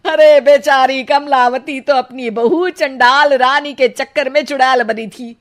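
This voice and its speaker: A woman's voice: high-pitched, steady tone, unhurried. A woman voicing a nagging, quarrelsome mother-in-law in a cartoon, scolding and sarcastic. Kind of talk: cartoon voice acting.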